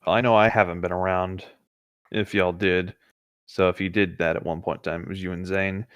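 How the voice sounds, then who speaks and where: A man's voice: sits low, level tone, brisk, fairly bright tone, no echo. A man co-hosting a horror story show, reading aloud and chatting in an informal, conversational way. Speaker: co-host reading internet horror stories. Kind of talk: casual banter and story reading.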